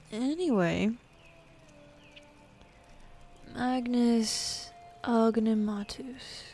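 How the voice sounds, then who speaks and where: A woman's voice: fairly high pitch, vocal fry, darkish tone, full character voice. A woman voicing a bossy, teasing demon in an intimate ASMR roleplay, sardonic and drawling, easily bored, slipping into whispers. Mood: playfully sly. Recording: faint ambient forest background noise.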